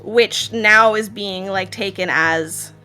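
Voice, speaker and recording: Bright-voiced Israeli woman running the game. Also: mid-range voice, slow pace, faint music behind, rough recording. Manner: laughs often, casual with fillers. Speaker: game master. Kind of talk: narrating a tabletop game.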